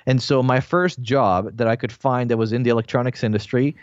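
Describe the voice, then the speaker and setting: Relaxed Bosnian-born man telling stories. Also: medium pitch, fairly quick, no room sound. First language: Croatian. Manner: relaxed storytelling with fillers. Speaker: guest, entrepreneur. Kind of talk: casual interview chat. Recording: dull lo-fi dry sound.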